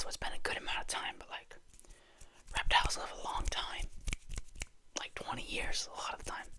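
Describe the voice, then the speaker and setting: A casual ASMR host who speaks entirely in a breathy whisper. The delivery rambles gently and playfully, musing aloud to the viewers in an unhurried, informal way.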